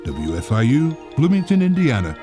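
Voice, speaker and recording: A man's voice: mid-range voice, huge pitch swings, normal speed, music in the background. Man with wildly swooping radio-spot delivery. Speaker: station identification announcer. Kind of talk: playful scripted radio station spot.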